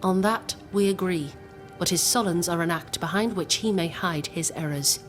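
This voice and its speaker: A composed woman with a low voice played by an actress in a video game drama. She speaks formally and deliberately, a resolute plotter weighing her words, with prominent music underneath. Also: lightly acted. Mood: grave, polite. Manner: formal, measured, deliberate phrasing. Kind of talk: video game cutscene acting.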